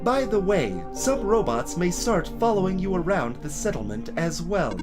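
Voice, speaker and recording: A man voicing a stiff, formal robot guard in a video game, speaking in measured, proper sentences. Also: sits high, very animated, over loud music. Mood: solemn, dutiful. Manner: formal, measured, uncontracted speech.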